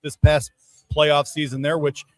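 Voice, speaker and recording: A man in his thirties telling a casual story as a podcast panelist, halting and rambling. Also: a little high, monotone, no room sound, soft background music. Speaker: sports writer and podcast panelist.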